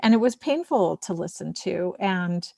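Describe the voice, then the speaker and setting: A woman chatting as a guest, effusive and halting, often interrupting her own sentences. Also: on the low side, animated, vocal fry, mellow, no echo, studio quality. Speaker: voice actor guest. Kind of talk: casual chat, giving reactions.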